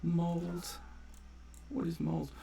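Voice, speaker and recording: A man giving casual gameplay commentary, muttering asides and exclaiming as he reacts out loud. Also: fairly high pitch, monotone, extremely slow, vocal fry, a little hiss or hum. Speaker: gamer narrating a playthrough.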